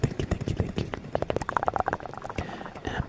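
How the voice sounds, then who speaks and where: A man hosting an ASMR livestream, chatting softly and mostly in whispers close to the microphone. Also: background noise. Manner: slow, soft, mostly whispered.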